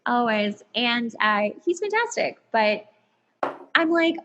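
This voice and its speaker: Higher-pitched, self-mocking woman in casual chat. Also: sing-song, takes it slowly, lo-fi sound, crowd noise. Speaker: podcast guest.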